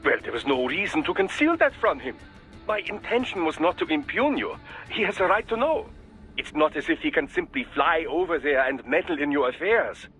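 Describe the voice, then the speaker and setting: An actor playing a genial, fussy, erudite male scientist in a video game, speaking in a formal, wordy, over-polite and precise way with a husky voice. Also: on the high side, sing-song, normal speed, bright, forceful tone, faint music behind. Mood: polite, defensive.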